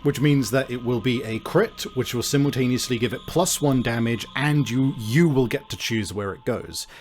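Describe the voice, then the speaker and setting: A man improvising narration as game master of a tabletop horror game, halting and deliberate as he searches for words. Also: medium pitch, a little mellow, faint music behind.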